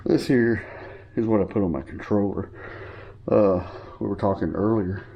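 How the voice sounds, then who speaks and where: A man's voice: lowish voice, normal speed, vocal fry, highs cut off. A man giving a casual, rambling show-and-tell of his equipment, sniffling a little from a cold and correcting himself as he goes. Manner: rambling, informal, with fillers and self-corrections. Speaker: hobbyist showing his gear.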